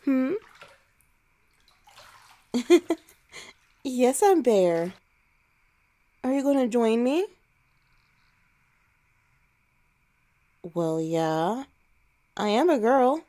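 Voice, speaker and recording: A woman's voice: on the high side, sing-song, very slow, pauses often, dark tone, heavily acted. A woman playing a teasing alpha she-wolf who is scared of heights and easily flustered, in a romantic ASMR roleplay. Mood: playful, teasing.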